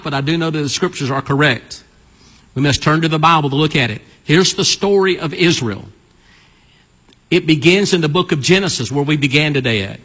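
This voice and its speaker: A preacher in his sixties with a husky, bright edge to his voice, pitched a little high for a man. He urges the congregation to answer back, and his words ring out through a church with a long reverb. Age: 60s. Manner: call-and-response preaching, urging the congregation. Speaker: pastor. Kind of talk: sermon. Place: church sanctuary.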